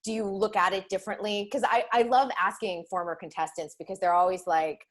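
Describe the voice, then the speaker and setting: A woman's voice: mid-range voice, brisk. A woman interviewing a guest in a chatty, casual way, laughing easily. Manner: chatty, laughs often, animated asides.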